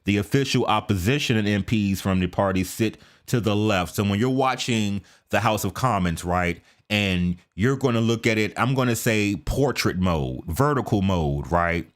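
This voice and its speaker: Chatty American man with a low voice. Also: moderate pace, hardly any pauses. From United States. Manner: chatty, lots of fillers and restarts, asks viewers for agreement. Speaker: reaction video host. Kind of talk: casual commentary to camera.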